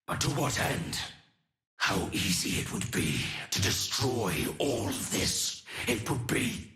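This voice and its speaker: Slow, echoing ancient god-warrior. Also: male voice, lowish voice, very animated, bright, forceful tone, full character voice. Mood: tormented, restrained. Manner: solemn, measured, archaic formal delivery. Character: ancient god-warrior fighting his dark urges, solemn and noble. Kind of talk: dramatic video game character lines.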